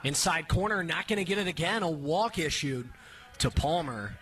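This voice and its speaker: Bright-voiced male baseball play-by-play announcer. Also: a little high, moderate pace. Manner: clipped descriptive phrases, rising excitement on action. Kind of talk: radio sports play-by-play commentary.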